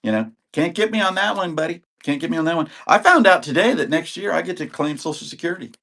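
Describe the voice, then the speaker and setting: A man's voice: on the high side, lively intonation, quick pace, a bit bright. A man in his sixties, getting over an illness, chatting casually and rambling as he sells videotapes on a live auction stream and greets viewers by name. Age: 60s. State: recovering from illness.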